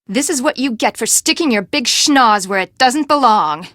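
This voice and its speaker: A woman's voice: fairly high pitch, expressive, normal speed, a bit bright, dry recording, studio quality. A woman voice actor playing a haughty, hot-tempered, eccentric woman with a melodramatic delivery in a video game. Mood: menacing.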